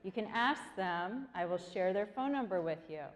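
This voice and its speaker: A woman's voice: mid-range voice, lively intonation, moderate pace. A woman in her forties from Korea gives a chatty motivational talk to students, often asking the audience questions. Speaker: guest speaker. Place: large hall. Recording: long hall reverb.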